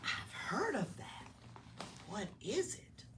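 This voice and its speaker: A woman's voice: mid-range voice, very animated, takes it slowly, a bit bright. A woman voicing a curious, bewildered young male pet iguana in a storybook, questioning everything, on a distant mic. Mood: timid, curious. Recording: distant mic in noisy room.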